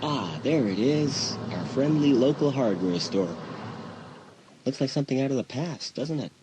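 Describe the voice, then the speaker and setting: A man's voice: lively intonation, normal speed, natural voice. A man playing a wry, laid-back teenage stepbrother in a dramatised audiobook, dry and teasing. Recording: loud background ambience and rumble.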